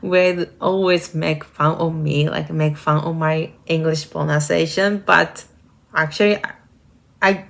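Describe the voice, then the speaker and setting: A woman's voice: deep voice, steady tone, unhurried, gravelly. A young woman from Japan with a Japanese accent, talking casually and at length, full of filler words. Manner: talkative, uses many "like" fillers. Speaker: vlogger and nursing student. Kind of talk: casual chat to camera. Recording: guitar music playing behind.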